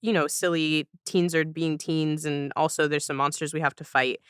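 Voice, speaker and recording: A woman's voice: sits low, level tone, moderate pace, clear, resonant tone, no room sound, studio quality. A woman hosting a casual podcast conversation, thoughtfully working through long, winding sentences. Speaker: podcast host.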